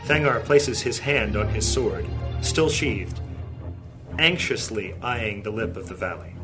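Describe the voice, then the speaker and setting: A man's voice: on the low side, animated, unhurried, music in the background. A man narrating a fantasy audio drama in a scripted, dramatic storytelling style.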